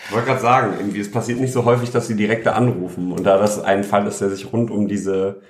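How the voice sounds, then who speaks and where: A man's voice: lowish voice, little pitch movement, fast talker, background noise. A man co-hosting a casual podcast, giving blunt, opinionated takes. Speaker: podcast co-host. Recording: echoey room.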